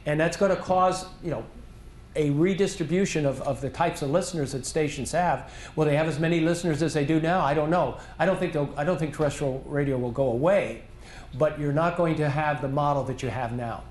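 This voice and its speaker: Male conference presenter, Q&A, measured and thinking aloud, slightly high voice, roomy hall sound. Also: a little mellow. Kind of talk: conference Q&A answer.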